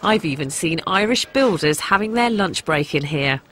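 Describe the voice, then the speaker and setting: A woman's voice: low-pitched, expressive, moderate pace, fairly bright tone, a little hiss or hum. A woman with an English accent presenting a personal documentary, in narration and to camera. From England.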